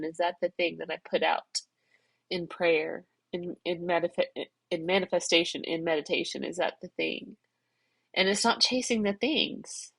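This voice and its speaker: A middle-aged woman speaks in a lowish voice, rambling and searching as she reflects aloud. She sounds congested from crying and keeps circling back to her point. The sound is dry and close, with no room echo. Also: creaky, husky. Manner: rambling, tearful, self-reflective. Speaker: vlogger. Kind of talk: emotional personal vlog, external processing.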